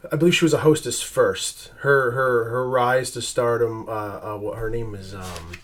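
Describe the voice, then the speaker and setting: A man co-hosting a podcast, talking casually in a hesitant way, with lots of pauses and restarts. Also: mid-range voice, fairly even intonation. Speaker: podcast co-host. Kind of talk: casual chat.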